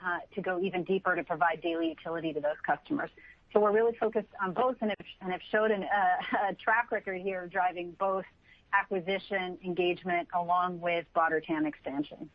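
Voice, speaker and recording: A woman finance executive explaining business results on an earnings call in a measured, analytical corporate manner. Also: lowish voice. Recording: muffled dry conference phone line.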